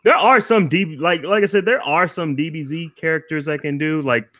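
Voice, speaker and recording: A man's voice: a little high, pushed and bright. An adult man co-hosting a casual podcast, playful and easygoing, laughing often. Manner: playful, slow and drawn-out, laughs a lot. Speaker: podcast co-host doing voice impressions.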